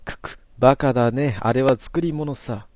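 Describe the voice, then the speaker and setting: A man's voice: mid-range voice, normal speed, a little mellow, dry recording, clean studio sound, lightly acted. A man voicing a villainous possessed young woman, sneering and menacing. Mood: mocking.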